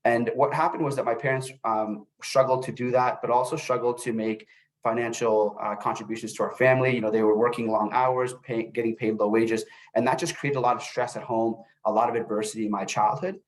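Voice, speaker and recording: A middle-aged man, born in El Salvador and raised in North America, giving an informal webinar presentation in a halting way, often repeating words as he goes. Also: medium pitch, fairly even intonation, poor audio. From born in El Salvador, grew up in North America. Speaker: webinar presenter, youth services manager.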